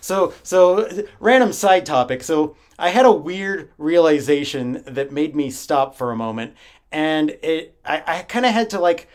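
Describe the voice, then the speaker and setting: A man's voice: a little high, expressive, measured pace. A man co-hosting a podcast, talking casually and animatedly and laughing often. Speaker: podcast co-host. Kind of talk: casual chat.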